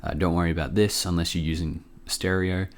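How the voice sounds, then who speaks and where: A man with a low voice walks through a software tutorial casually. He sounds a little under the weather and talks in an offhand, halting way, close to the mic. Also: steady tone. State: sick with a cough. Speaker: tutorial presenter. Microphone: close microphone.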